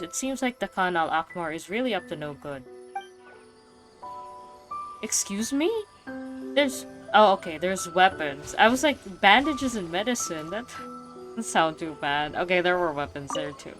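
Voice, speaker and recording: Slangy, lowish-voiced woman game streamer. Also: fairly bright tone. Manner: slangy, joking. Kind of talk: casual playthrough commentary, reading game text aloud.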